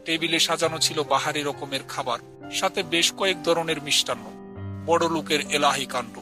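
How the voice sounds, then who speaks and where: A man voicing a polite young villager who tells a scripted ghost story in a measured, earnest way. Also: fairly high pitch, fairly even intonation, close to an everyday voice. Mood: earnest, uneasy. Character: polite young village man from a poor family recounting his ghost encounter. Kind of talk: scripted horror story narration with acted dialogue. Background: music.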